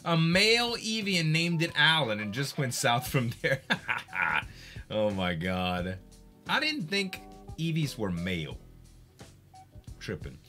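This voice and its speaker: A man chatting casually on a live game stream, excitable and swearing, hyping games and laughing often. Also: mid-range voice, slow, soft background music.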